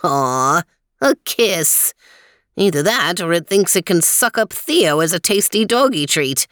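A woman's voice: lowish voice, animated, unhurried, fairly bright tone, no room sound, clean studio sound. A woman doing a chatty old male inventor. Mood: amused. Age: older. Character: old male inventor on a screen, cheerful know-it-all.

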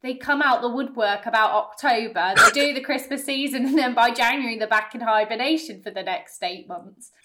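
A woman's voice: a little high, fairly quick, hardly any pauses, pushed and bright. An English woman hosting a casual interview chat, speaking in an enthusiastic, encouraging way. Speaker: podcast host, pantomime performer.

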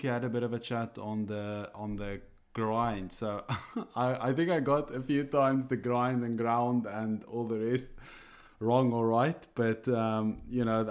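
A man whose first language is Afrikaans, speaking English with an Afrikaans accent, explains coffee on a podcast from his office over a remote call, halting and restarting with small chuckles. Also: medium pitch. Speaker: coffee roaster co-host.

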